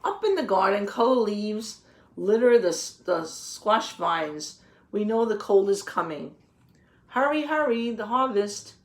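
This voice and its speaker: A woman reading a children's picture book aloud, pausing to explain things simply and put questions to the young listeners. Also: mid-range voice, lively intonation. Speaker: read-aloud teacher.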